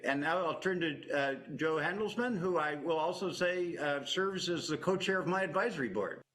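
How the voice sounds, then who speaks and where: A man moderating a virtual science panel over a video call, speaking in a measured way with frequent pauses and fillers. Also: on the high side, lively intonation, a bit bright.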